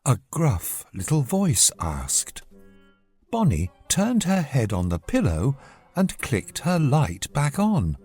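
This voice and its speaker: A storyteller giving a measured, expressive scripted narration of a children's bedtime story. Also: mid-range voice, slightly rough, faint music behind.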